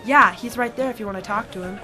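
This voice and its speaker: A woman's voice: mid-range voice, fast, bright, forceful tone, soft background music, lightly acted. A woman playing a surly, suspicious bartender and henchman in a detective radio drama, blunt and slangy. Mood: blunt, wary. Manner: slangy, blunt, folksy tough talk.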